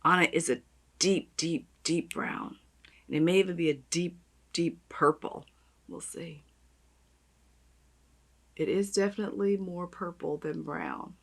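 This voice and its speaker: A woman with a raspy voice, chatting and gushing as she does her makeup on camera. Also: on the low side, expressive, a little slow, long pauses. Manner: gushing, chatty, talks while applying makeup. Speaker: beauty vlogger. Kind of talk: makeup tutorial chat.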